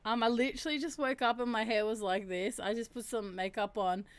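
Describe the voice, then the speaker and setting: Deep-voiced woman hosting a livestream. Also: fairly even intonation, dry recording. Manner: chatty, laughs and reacts to chat; slows down to chant drawn-out syllables. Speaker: livestream host. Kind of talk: casual chat mixed with reading aloud. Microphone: streaming mic.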